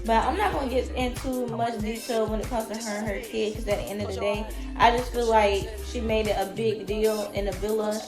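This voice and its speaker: A woman who is a little sick and sounds raspy, giving blunt, emphatic opinions about a reality show straight to her viewers. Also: fairly high pitch, fairly even intonation, brisk, few pauses. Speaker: reality TV commentator. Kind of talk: opinionated vlog commentary. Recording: echoey room with loud music.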